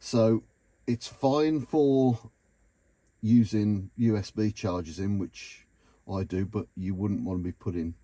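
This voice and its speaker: A man's voice: lowish voice, slow, mellow, no room sound. A British man giving a casual, matter-of-fact hands-on commentary as he works in a car, with informal phrasing. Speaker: car DIY vlogger. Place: in and around a car. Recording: noticeable background noise and clicks.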